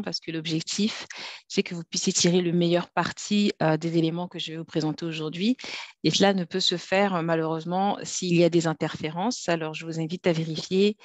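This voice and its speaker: Lady from france giving an online class, lowish voice, dry close sound. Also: dry recording. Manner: polite, measured, structured, some fillers. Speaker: guest speaker, trainer. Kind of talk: online masterclass presentation. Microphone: video call.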